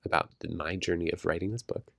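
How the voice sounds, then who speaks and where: A man co-hosting a casual late-night podcast at home, talking softly and adding caveats, a bit congested. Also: sits low, fairly even intonation, fast, vocal fry, dry recording, studio quality. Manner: quiet and hedging, laughs easily. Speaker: podcast co-host. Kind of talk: casual chat.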